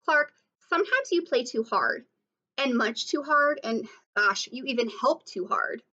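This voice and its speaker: A woman voicing a patient female octopus schoolteacher who gently corrects her pupil, in a storybook read-aloud. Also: sits high, expressive, measured pace, muffled recording, full character voice. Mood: gently admonishing. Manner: instructive, admonishing.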